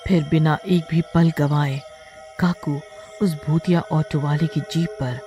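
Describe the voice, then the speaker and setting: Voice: mid-range voice, fairly even intonation, a little slow, warm tone. A narrator reading a scripted horror story for a cartoon. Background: background music.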